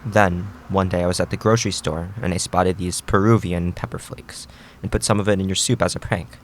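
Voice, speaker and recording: Deep-voiced gentle father, acted by a man. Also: steady tone, slight background noise, close to an everyday voice. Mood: gentle, reflective. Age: middle-aged. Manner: measured, reassuring, earnest. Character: gentle, reflective immigrant Japanese father comforting his son. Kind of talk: audio drama acting.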